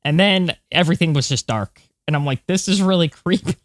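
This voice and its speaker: A woman's voice: on the low side, a little fast, no echo. Woman chatting casually, dry close sound. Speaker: podcast co-host. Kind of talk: casual chat.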